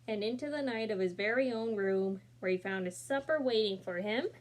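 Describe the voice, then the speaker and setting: A woman reads a picture book to children at a steady, mid-pitched level. She brings expression to the characters' lines and stops to chat gently with young listeners between pages. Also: normal speed. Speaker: storybook reader. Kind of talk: children's read-aloud with asides.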